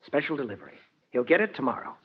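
An actor playing an earnest, idealistic defence lawyer in a 1940s radio drama, speaking in a measured, persuasive way. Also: male voice, medium pitch, sing-song, loud music behind the voice, natural voice. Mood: quiet, sincere. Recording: muffled vintage radio recording, long reverb.

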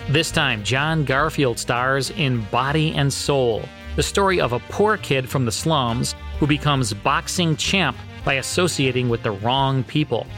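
A man's voice: mid-range voice, measured pace, hardly any pauses, a bit bright. An American man hosting a radio show, reading scripted promotional narration and introductions. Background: music.

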